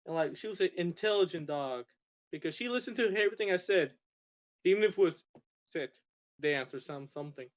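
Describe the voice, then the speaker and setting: A man's voice: sits high, animated, brisk. A young man from Russia telling stories as a podcast guest, rambling with frequent restarts and stammers. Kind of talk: casual storytelling. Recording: dull lo-fi sound.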